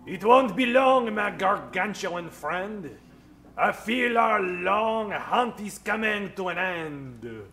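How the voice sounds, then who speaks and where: An actor playing a grandiose world-renowned biologist on a long hunt, in a florid, theatrical old-time radio style. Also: male voice, sits high, animated, fairly bright tone, full character voice. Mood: solemn, anticipating. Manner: theatrical, slow, florid delivery. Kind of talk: old-time radio horror spoof acting.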